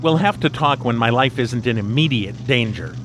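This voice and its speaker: An actor playing the exasperated, sensible one in a group of friends, dry and scolding, in an audio drama. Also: male voice, medium pitch, lively intonation, normal speed, background noise, close to an everyday voice.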